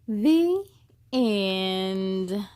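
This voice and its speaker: A woman hosting a children's story time, speaking to young listeners in an expressive, encouraging, deliberate way. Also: medium pitch. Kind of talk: read-aloud with encouraging commentary to children.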